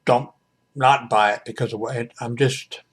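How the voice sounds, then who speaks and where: A man in his seventies talking casually and haltingly in an unscripted home video review, with frequent mid-sentence pauses. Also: mid-range voice, steady tone, husky. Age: 70s. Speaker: radio hobbyist reviewing a portable shortwave radio. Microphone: webcam microphone.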